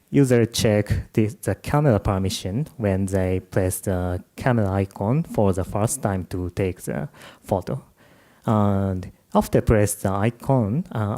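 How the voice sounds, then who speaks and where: A man's voice: lowish voice, dark tone. Japanese-accented man giving a tech talk. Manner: halting, with many uh and um fillers. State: jet-lagged. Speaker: conference speaker. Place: conference room.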